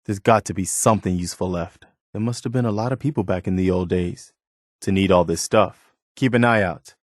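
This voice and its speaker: An actor playing an earnest, hopeful American militia companion in a video game, wary and reflective, with a sincere, folksy delivery. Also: male voice, on the low side, no room sound, studio quality, close to an everyday voice.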